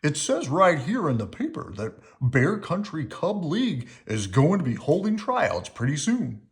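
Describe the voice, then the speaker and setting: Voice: mid-range voice, big pitch swings, unhurried, voice pushed up. A storybook reader voicing an easygoing father bear with a folksy, encouraging manner.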